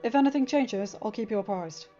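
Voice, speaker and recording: A woman's voice: a little high, brisk, mellow, soft background music, close to an everyday voice. A woman voicing a loyal male bodyguard in an audiobook, speaking with insistent, urgent emphasis. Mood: dutiful. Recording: dull lo-fi recording.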